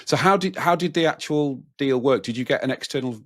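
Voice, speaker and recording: A man's voice: mid-range voice, a little fast, a bit bright. A man hosting a business podcast, asking questions and delivering a show plug in an upbeat, emphatic way. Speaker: podcast host. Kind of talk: podcast interview and sponsor-style plug.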